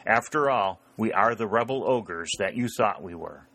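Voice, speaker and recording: A man voicing a brash young rebel soldier in an audiobook, sharp, commanding and wry. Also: fairly low pitch, animated, moderate pace. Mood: sharp, insistent. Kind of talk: audiobook character voice.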